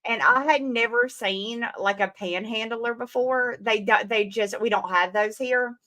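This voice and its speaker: Woman, Southern accent, young adult, casual chat, chatty, bright tone. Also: a little high, measured pace. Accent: Southern American. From American South. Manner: chatty, laughs often. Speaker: comic creator guest. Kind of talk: casual conversation.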